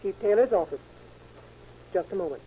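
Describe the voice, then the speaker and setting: Actress as police office secretary on old radio show, mellow voice, brisk and polite, giving nothing away, fuzzy muffled audio. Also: female voice, mid-range voice, lively intonation, slight background noise, lightly acted. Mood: guarded. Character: guarded, businesslike police office secretary fielding reporters. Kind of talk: 1940s-50s American radio drama acting.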